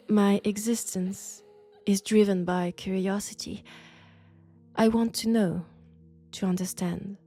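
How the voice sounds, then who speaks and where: A woman with a French accent playing an elegant, condescending vampire scholar in an intimate roleplay audio drama, speaking deliberately and seductively. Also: medium pitch, husky. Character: elegant, sophisticated, coolly curious female vampire scholar. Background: faint dark ambient music.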